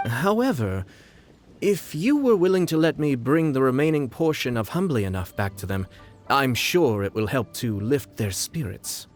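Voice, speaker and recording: Man as a courtly young lord, suave, formal, slyly amused, lively intonation, video game cutscene, soft music behind. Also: medium pitch, close to an everyday voice. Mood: politely persuasive. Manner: formal, polite, measured phrasing.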